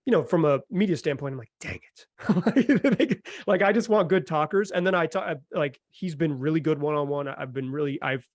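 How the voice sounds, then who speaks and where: A man with a somewhat high-pitched voice hosts a casual basketball conversation. He sounds informal and keen on the subject. The sound is very dry, with no room echo. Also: animated, moderate pace, few pauses. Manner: informal, enthusiastic. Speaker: sports podcast host.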